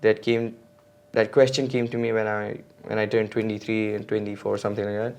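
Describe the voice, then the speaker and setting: Hesitant young man, flat low voice. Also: a little fast. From India. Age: 20s. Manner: hesitant, full of fillers and restarts. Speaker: podcast guest, mountain bike racer. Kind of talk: casual podcast conversation.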